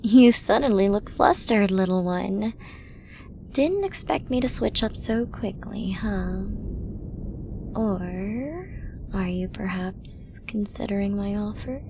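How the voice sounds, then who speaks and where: A woman acts out a menacing, seductive female villain in an ASMR audio roleplay. She speaks slowly in a mid-range voice, teasing and dominant, often sinking into a breathy whisper. There is clear noise behind her. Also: medium pitch, lively intonation. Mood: flirty, teasing.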